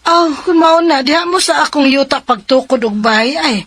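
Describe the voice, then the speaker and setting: An actress with a Cebuano accent playing a practical, generous unmarried aunt who gives advice in a radio drama. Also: female voice, sits high, moderate pace, fairly bright tone, no room sound.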